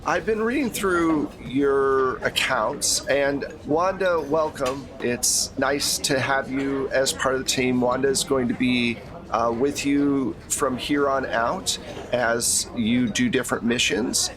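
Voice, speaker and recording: A man playing a middle-aged female agency handler in a tabletop game, courteous, protective and measured in a briefing manner. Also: medium pitch, a crowd in the background, close to an everyday voice. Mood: businesslike, reassuring. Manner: measured, professional briefing talk.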